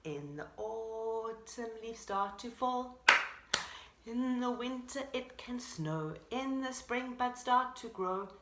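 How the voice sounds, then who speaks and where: Female storyteller for small children, expressive and explanatory, highish lilting voice with room echo. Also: takes it slowly, very noisy. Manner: animated, explanatory. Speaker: pre-primary teacher, storyteller. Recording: roomy echo.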